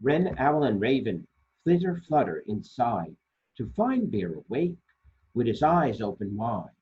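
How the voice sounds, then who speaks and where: A man reading children's picture books aloud as a storytime host, expressively and deliberately, with dramatic pauses and a husky voice. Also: mid-range voice, very animated, dull audio. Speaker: storytime reader for a library.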